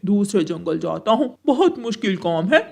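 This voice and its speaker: A man's voice: high voice, big pitch swings, normal speed, gravelly, heavily acted. A man voicing a hard-working, grumbling crow husband who is easily led, in a children's cartoon.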